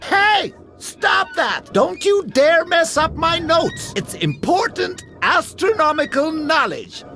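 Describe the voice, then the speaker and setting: An actor playing a cranky, pompous old astronomer in a video game, irritable and given to exclaiming. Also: male voice, very high voice, sing-song, slow pace, hardly any pauses, bright, forceful tone, soft background music, full character voice. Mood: indignant. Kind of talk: video game character dialogue.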